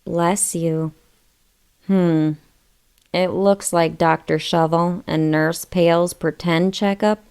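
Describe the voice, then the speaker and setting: Female storybook narrator for small children, lowish voice, very leisurely pace, question-led read-aloud delivery. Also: gravelly, a little mellow, clean tone. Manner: addresses young listeners with questions.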